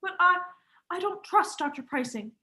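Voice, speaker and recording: A woman's voice: very high-pitched, lively intonation, moderate pace, pushed and bright, full character voice. A student actress playing a frightened, suspicious young woman in an urgent, melodramatic vintage radio mystery style. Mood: urgent, accusing. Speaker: student actor.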